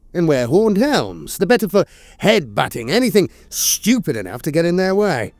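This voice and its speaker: A man delivers scripted lore narration with dramatic emphasis. His voice sits a little high and swings widely in pitch. The recording is close and dry, with a faint bed of music behind him. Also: a little mellow, no room sound.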